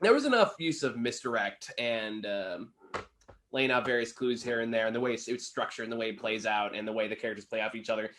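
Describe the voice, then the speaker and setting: A man's voice: mid-range voice, animated, brisk, a bit bright, a little hiss or hum. A tired-sounding man giving a casual, unscripted film review in informal, sweary language. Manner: profane, informal.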